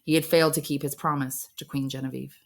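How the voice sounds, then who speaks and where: A woman's voice: very deep voice, flat delivery, fast, creaky. A woman narrating a novel aloud as an English teacher would, reading scripted storybook prose.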